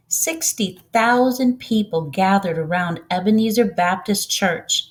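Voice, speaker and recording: Woman reading a children's storybook expressively. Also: medium pitch. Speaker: volunteer storybook reader.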